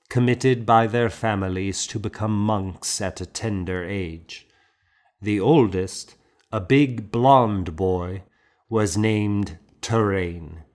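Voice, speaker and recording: A man reads literary historical fiction for an audiobook. His voice sits on the low side, and he moves slowly through the text with measured, deliberate phrasing. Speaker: audiobook narrator. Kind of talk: scripted literary narration of a historical novel.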